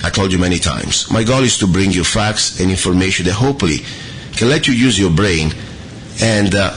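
A middle-aged man from Italy with an Italian accent, hosting an opinionated talk radio show in earnest, halting speech full of fillers and restarts. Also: low-pitched, animated, a bit bright, slight background noise, low-quality recording.

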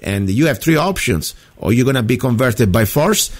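A man's voice: mid-range voice, expressive, normal speed. Italian-accented man hosting a talk show. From Italy. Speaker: radio talk show host. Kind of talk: opinionated call-in chat.

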